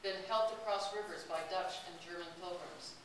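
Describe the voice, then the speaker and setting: A woman's voice: on the low side, normal speed. A woman giving a chatty talk to an audience about a long walk, often laughing as she tells stories. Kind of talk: personal travel talk to an audience. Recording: distant, roomy, hissy recording.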